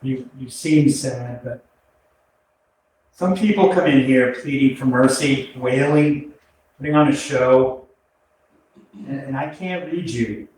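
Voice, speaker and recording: A man plays a searching, hesitant questioner in a staged drama, a victim pressing for remorse. He speaks very slowly at a medium pitch, in a strongly echoing room. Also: darkish tone, natural voice. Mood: pleading, searching. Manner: searching, hesitant, repeats phrases. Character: probing male questioner, a killer's victim demanding remorse. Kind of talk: staged play reading, dramatic dialogue. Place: arts center stage, indoors, recorded from afar. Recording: very echoey hall, distant mic, background noise.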